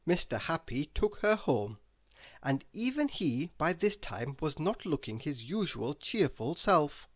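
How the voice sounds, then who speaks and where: A woman reading a children's story aloud in an expressive, deliberate narrating style. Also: low voice. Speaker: storybook reader.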